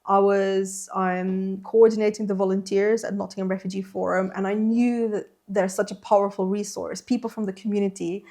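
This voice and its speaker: A woman's voice: mid-range voice, flat delivery, moderate pace, hardly any pauses, warm tone. A woman whose first language is Slovene, answering interview questions on a podcast in a thoughtful, self-deprecating way with many fillers. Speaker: interpreter trainer.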